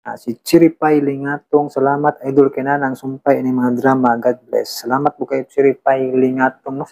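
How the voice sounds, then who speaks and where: A man's voice: mid-range voice, darkish tone, low-quality recording. A man hosting informally, reading out comments in a casual chat full of tag questions. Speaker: channel host.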